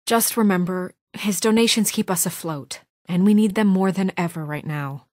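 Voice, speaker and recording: A woman voicing a poised, stern young woman in authority in a video game drama, speaking in an earnest, measured, formal way. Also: medium pitch, darkish tone, no room sound, clean studio sound, lightly acted. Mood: exasperated, firm. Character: poised, stern young woman leading a troubled town government.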